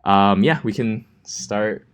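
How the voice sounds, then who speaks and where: A young man from Los Angeles teaching a coding class in a relaxed, chatty way, with many ums and likes. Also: lowish voice, takes it slowly, smooth. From Los Angeles, California. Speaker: coding instructor. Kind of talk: casual classroom lecture.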